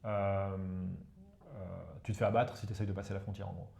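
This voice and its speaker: A man's voice: fairly low pitch, flat delivery, a little fast, gravelly, a little mellow. A man from India, a Hindi speaker, explaining things as a guest expert in a casual interview and tagging his sentences with "you see". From India, Ganges plain. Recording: roomy echo.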